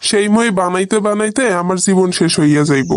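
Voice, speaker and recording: A man's voice: high-pitched, fairly quick, clean tone, no echo, natural voice. A man with a Bangladeshi accent playing an exasperated village husband who protests and reasons, in a comic cartoon.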